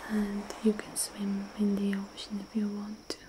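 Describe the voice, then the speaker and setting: A young woman whispering a slow, dreamy ASMR guided visualization close to the mic, with soft murmured hums between phrases. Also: mid-range voice, completely flat delivery, background noise. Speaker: ASMR host.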